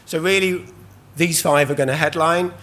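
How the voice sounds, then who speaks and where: A man, hoarse from losing his voice, hosts a live conference panel in a loose, chatty way with many ums and restarts. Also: fairly high pitch, fairly even intonation, moderate pace, fairly bright tone. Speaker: panel host. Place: conference stage at a trade show.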